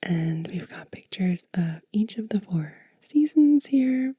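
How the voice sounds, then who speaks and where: Very slow, breathy whispering ASMR woman. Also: mid-range voice, animated, no room sound, clean studio sound. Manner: gentle, unhurried, soothing delivery. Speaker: host of a witchcraft ASMR channel. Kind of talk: soft-spoken whispered ASMR show and tell.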